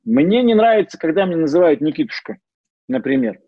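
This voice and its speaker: A middle-aged man giving an informal lecture as a psychologist, mixing in personal stories and pausing often on fillers and restarts. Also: on the high side, lively intonation, no echo. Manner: hesitant, many fillers and restarts. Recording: dull lo-fi dry sound.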